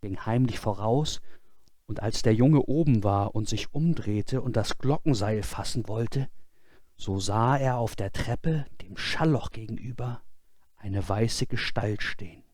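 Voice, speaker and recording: Male storybook narrator: unhurried, expressive, deliberate, very dry sound. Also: fairly low pitch, dry recording. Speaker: storyteller reading a fairy tale.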